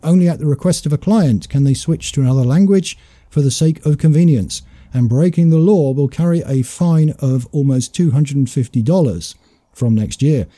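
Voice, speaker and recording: A man hosting a talk show, reading a news report aloud in a measured way before commenting. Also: fairly high pitch, mellow, no echo. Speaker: host.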